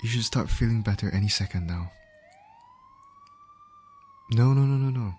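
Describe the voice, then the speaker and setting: A man acts out a male medic in an audio roleplay, with a fairly low voice. He talks straight to his injured patient, steady and caring even under pressure. Some background noise and emergency ambience sit behind him. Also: moderate pace, close to an everyday voice. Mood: urgent, encouraging. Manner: reassuring, talking directly to an injured patient. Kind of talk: ASMR roleplay voice acting.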